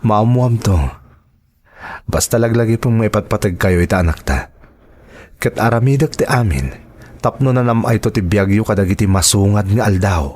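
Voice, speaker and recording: A man's voice: on the low side, moderate pace, a little mellow, lightly acted. A man playing a devoted, reassuring husband and father in an Ilocano drama, speaking earnestly. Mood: affectionate, playful. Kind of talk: Ilocano radio-style drama acting.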